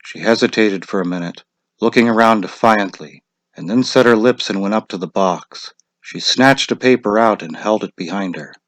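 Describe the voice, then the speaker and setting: A man's voice: fairly low pitch, normal speed, dry recording. A man narrating a short story as a scripted dramatic reading.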